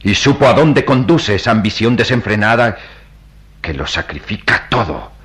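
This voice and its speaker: A compassionate priest of melodramatic radio drama, grave and persuasive. His low voice is slightly husky and swings widely as he preaches with emphatic, oratorical weight. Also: sing-song, normal speed, fairly bright tone, full character voice. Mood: fervent, grave. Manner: solemn, oratorical, emphatic delivery. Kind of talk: melodramatic Latin American radio drama acting.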